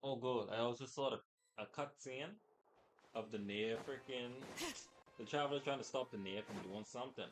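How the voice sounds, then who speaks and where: A man's voice: mid-range voice, moderate pace. A man streaming a video game, rambling casually in slang and trailing off. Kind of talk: casual live game commentary.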